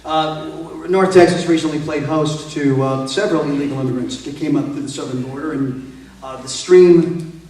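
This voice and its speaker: A man moderating a candidate forum, posing long questions haltingly with many fillers and wry asides. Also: a little high, warm tone. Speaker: forum moderator, radio talk host. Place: museum event hall. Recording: echoey hall, distant mic, faint noise.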